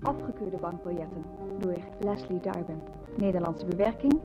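A woman's voice: on the low side, animated, normal speed, lo-fi sound, over loud music. A woman announcer formally reading scripted radio play credits.

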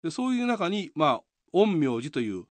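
Animated male presenter explaining. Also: on the high side, normal speed, no echo. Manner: polite, explanatory. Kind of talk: explanatory radio commentary.

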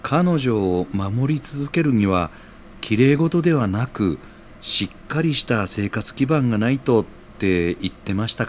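A man playing a reasonable, reassuring friend in an audio drama, speaking gently. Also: on the low side, a little hiss or hum.

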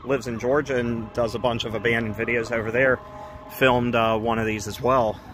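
A man's voice: mid-range voice, flat delivery, normal speed. Chatty man vlogging outside by traffic. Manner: chatty, amused, frequent laughs and asides. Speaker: urban exploration vlogger. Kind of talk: casual walk-and-talk narration. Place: outdoors in an empty parking lot. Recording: phone video outdoors with traffic noise. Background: traffic and outdoor ambience.

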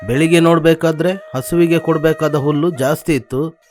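A man's voice: on the high side, fairly even intonation, fairly quick, a little mellow, soft background music, close to an everyday voice. A man playing a stingy, scolding village husband who sells milk in an acted moral story. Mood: suspicious, angry. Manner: scolding, demanding. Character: stingy, stern husband, a milk seller and farmer.

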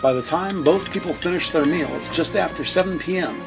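A male narrator delivers scripted audio drama description. His voice sits a little high for a man and has plenty of creak, with music clearly underneath. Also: brisk.

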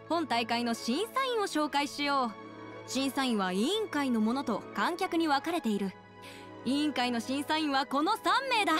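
A woman's voice: high-pitched, a bit bright. An actress playing a poised young woman announcing a contest formally and ceremoniously in an anime game. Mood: ceremonious, bright. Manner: clear, measured, ceremonious.

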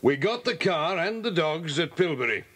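A British man playing a genial, brisk police inspector in a children's audio drama, hearty and chatty. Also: on the high side, lively intonation, fairly bright tone, slightly rough. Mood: businesslike.

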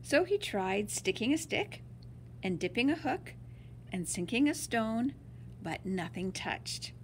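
A woman's voice: a little high, very animated, slow, a little hiss or hum, rough recording. A woman reading a children's picture book aloud like a teacher, drawing out words for dramatic emphasis. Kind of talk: expressive storybook read-aloud.

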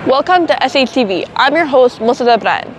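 A young woman hosting a student show, presenting clearly and upbeat from outdoors in a city square. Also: high-pitched, lively intonation, fairly quick, over music. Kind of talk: scripted presenting.

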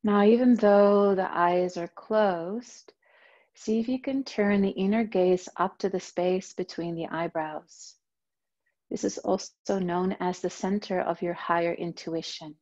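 A woman yoga teacher leading a guided meditation, speaking in a slow, soft, soothing way. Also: medium pitch, dull audio.

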